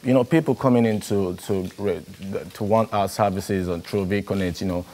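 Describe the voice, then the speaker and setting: A man's voice: lowish voice, normal speed. A young man, a business owner guest, talking casually with lots of "you know". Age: young adult. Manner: relaxed, filler-heavy, "you know". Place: TV studio. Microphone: lapel.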